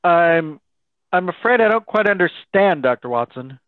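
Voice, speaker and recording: A man's voice: sits high, animated, dry recording. Formal radio announcer, played by a man on thin line. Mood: curious. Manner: formal, measured announcer delivery. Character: courteous male radio announcer, Mr. Bell, visiting Watson. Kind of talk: 1930s radio drama acting. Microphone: video call. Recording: thin phone-like video call sound.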